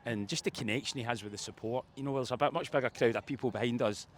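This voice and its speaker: A man's voice: mid-range voice, quick pace. A man giving effusive, opinionated post-match football reaction as a fan pundit, speaking in wordy stretches. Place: outdoors outside a football stadium. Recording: outdoor street noise behind.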